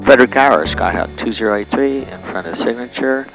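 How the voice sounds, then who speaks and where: A man's voice: mid-range voice, animated, brisk, gravelly, low-quality recording. A man, a pilot under instruction, talking casually and making radio calls on cockpit audio. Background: loud electronic music.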